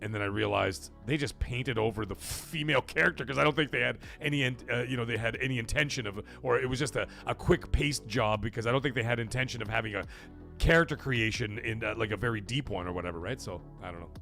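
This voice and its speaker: A man's voice: medium pitch, fast, vocal fry, pushed and bright, faint music behind. A man hosting a gaming livestream, rambling casually and answering viewers from the chat by name. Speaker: livestream gaming show host.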